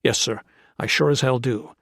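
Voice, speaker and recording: A man's voice: medium pitch, moderate pace, gravelly, fairly bright tone, breathy edge, dry recording, studio quality. A male narrator voicing a sly prisoner who answers with mock innocence. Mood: mock-innocent.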